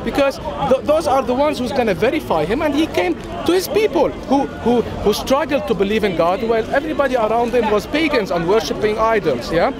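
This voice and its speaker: A man whose first language is Arabic, arguing in a lively street debate in a park. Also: very high-pitched, a little fast, few pauses. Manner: animated, interjecting. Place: city park outdoors, crowd around. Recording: outdoor crowd noise.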